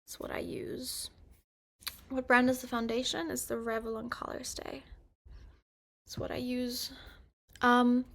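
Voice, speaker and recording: A woman's voice: a little high, flat delivery, unhurried, gravelly, long pauses. A woman in her early twenties chatting casually with her stream while doing her makeup, rambling with lots of filler words. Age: 20s. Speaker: livestreamer.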